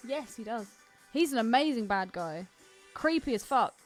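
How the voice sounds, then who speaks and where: Animated, high-voiced woman chatting casually. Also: moderate pace, faint music behind. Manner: informal, slangy, candid. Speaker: podcast co-host. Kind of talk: casual film chat.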